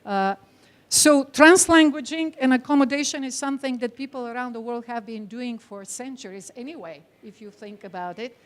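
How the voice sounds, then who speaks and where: High-voiced Bulgarian woman giving a lecture. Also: normal speed. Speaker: academic speaker, teacher trainer. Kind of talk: conference lecture to an audience.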